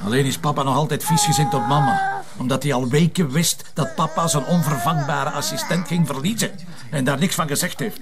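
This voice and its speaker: A man's voice: on the high side, big pitch swings, a little fast, noisy background. An actor playing a sarcastic, grumbling police inspector and father with a Flemish accent, in naturalistic television drama dialogue. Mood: grumpy, sarcastic.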